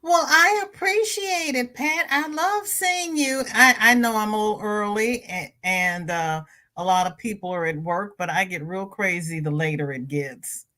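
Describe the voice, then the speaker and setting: A stuffy-nosed woman hosts a casual livestream and chats easily with her viewers. Her voice sits at an ordinary middle pitch for a woman. It jumps up brightly whenever she greets someone by name. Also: unhurried. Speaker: crochet channel host. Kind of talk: casual livestream chat, reading viewer comments.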